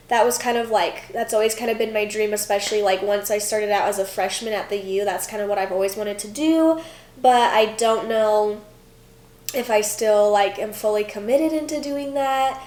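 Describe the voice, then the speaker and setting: A woman's voice: medium pitch, a little mellow, echoey room. A woman in her twenties answering viewer questions in a casual vlog, chatty with lots of fillers, sounding stuffy from a cold. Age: 20s. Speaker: vlogger, former college gymnast.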